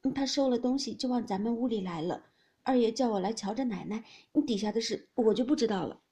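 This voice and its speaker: A woman's voice: fairly high pitch, fast, mellow, natural voice. A woman voicing a nervous, nimble young servant girl in a storybook reading, eager to placate. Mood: eager, placating. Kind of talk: dramatised audiobook of classic novel.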